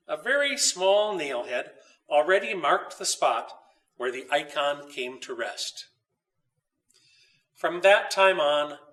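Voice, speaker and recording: Male author-narrator for children's story: expressive, deliberate, crisp forceful brightness, mic slightly distant. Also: a little high. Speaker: author reading his story.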